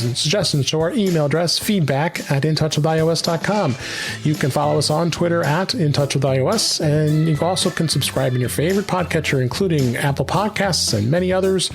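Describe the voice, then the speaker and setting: A man's voice: on the high side, a little fast, faint music behind. A man hosting a tech podcast in a casual, conversational style. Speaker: podcast host.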